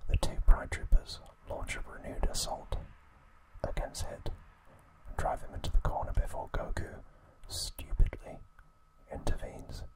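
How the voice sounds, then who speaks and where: A man hosting an ASMR recap, speaking in a soft, breathy, unvoiced whisper with a gentle delivery. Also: a little slow, poor audio. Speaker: ASMR host.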